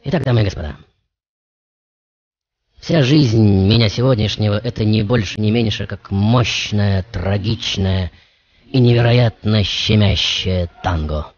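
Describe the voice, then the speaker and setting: A man playing a passionate, brooding composer who tells his life in a dramatic, poetic radio monologue with deliberate pauses. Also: fairly low pitch, dry recording, lo-fi sound. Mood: brooding, solemn. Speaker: radio show host. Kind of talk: theatrical radio monologue over music. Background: music.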